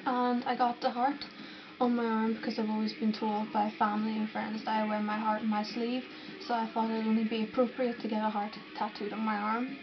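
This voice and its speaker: A woman's voice: on the high side, little pitch movement, fairly quick, music in the background. A young woman from Northern Ireland with a Northern Irish accent, chatting casually to camera and answering viewer questions. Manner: chatty, rambling, with fillers and restarts. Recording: dull lo-fi sound with noticeable background noise.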